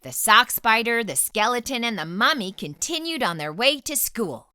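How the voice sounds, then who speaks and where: A woman reading a children's book aloud as a storytime host, with expressive, dramatic storytelling. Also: fairly high pitch, moderate pace, no echo, studio quality.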